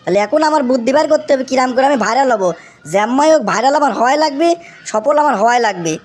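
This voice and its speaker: A man acts out an excitable young cartoon bachelor with a strikingly high voice. He has a Bangladeshi accent and whines and pleads, hammering his phrases again and again like a comic schemer chasing fame. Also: fast talker. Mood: excited scheming. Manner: whiny, pleading, repeats himself emphatically. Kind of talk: exaggerated comic cartoon acting.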